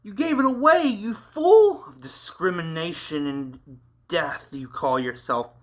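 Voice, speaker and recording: A man's voice: high voice, expressive, measured pace, voice pushed up. A man voicing Jakeia, an emotional, hot-tempered young male hero. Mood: furious. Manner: shouting and emotional.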